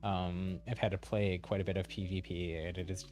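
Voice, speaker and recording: A man's voice: deep voice, level tone, moderate pace, a little mellow. A man doing casual live gameplay commentary as a streamer, chatty and hesitant as he thinks aloud. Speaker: game streamer.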